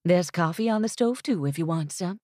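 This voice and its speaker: A woman voicing a warm older grandmother with a mellow, soothing tone and welcoming manner. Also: on the low side, lively intonation, fairly quick, dry recording, studio quality, close to an everyday voice.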